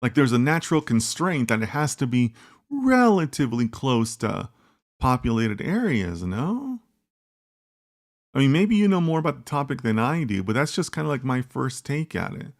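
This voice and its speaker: Rambling, gravelly-voiced male livestream host. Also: mid-range voice, very animated, moderate pace. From United States. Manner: rambling, conversational, many "like" and "right?" tags. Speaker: host of a live data science chat. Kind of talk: casual livestream chat reading viewer comments.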